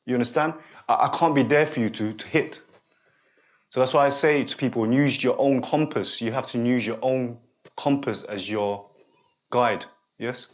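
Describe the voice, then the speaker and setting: Halting man in his forties, dull lo-fi sound. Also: medium pitch. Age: 40s. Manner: halting and repetitive, often pausing to check the listener follows. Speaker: holistic therapist guiding a student. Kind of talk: unscripted one-on-one guidance session.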